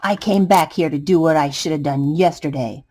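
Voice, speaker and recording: A woman plays a male eggplant from a children's storybook. He is gruff yet contrite and speaks deliberately, with restraint, pitched well down. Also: darkish tone. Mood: stern.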